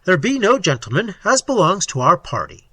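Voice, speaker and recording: A man's voice: high voice, big pitch swings, normal speed, fairly bright tone, slightly rough, no room sound, exaggerated voice. A man voicing a jovial, coarse, talkative policeman telling tales in slang for an audiobook. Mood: sly, boastful. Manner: slangy, rambling, relishing his own tale. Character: jovial cockney policeman, coarse, talkative, fond of grisly anecdotes. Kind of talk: audiobook character voice.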